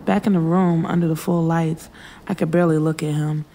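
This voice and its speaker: A woman's voice: low-pitched, fairly even intonation, a little fast, mellow. A woman narrating first-person urban fiction in a casual, frank, streetwise way. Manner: frank, streetwise delivery with swearing. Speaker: audiobook narrator.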